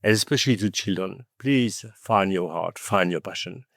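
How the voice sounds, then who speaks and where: A man with a French accent, French his first language, talking as a podcast guest in an animated storytelling manner, often adding "okay?" and "you know". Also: deep voice, normal speed, creaky, no echo, clean studio sound. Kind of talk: conversational interview.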